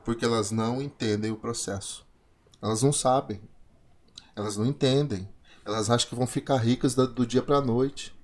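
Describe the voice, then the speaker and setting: Brazilian man, forties, motivational talk host, repetitive and emphatic, mid pitch. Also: a little mellow. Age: 40s. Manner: emphatic, repetitive, rhetorical questions. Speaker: trading course host. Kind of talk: motivational monologue.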